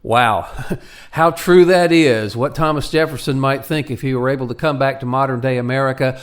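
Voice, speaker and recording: With a roomy echo and some music, a man speaks as a radio host and narrator. His voice sits at a middle pitch, suited to dramatic storytelling and talk. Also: normal speed.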